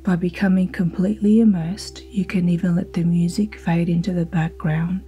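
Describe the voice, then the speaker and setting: A woman guiding a sleep hypnosis in a slow, soothing, soft-spoken manner with breathy phrase endings. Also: fairly low pitch, level tone, a little hiss or hum. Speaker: hypnosis guide. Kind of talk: guided sleep hypnosis narration. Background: ambient music with singing bowl tones.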